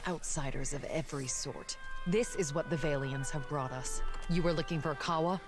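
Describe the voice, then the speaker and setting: Proud island warrior woman, stern and indignant; low, formal, in a game score. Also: normal speed, music in the background. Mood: scornful. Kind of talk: video game voice acting.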